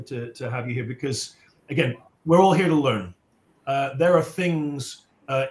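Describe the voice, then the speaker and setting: A man's voice: medium pitch, fairly bright tone. A man moderating a panel and interviewing guests in an informal, conversational style. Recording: roomy, echoing sound.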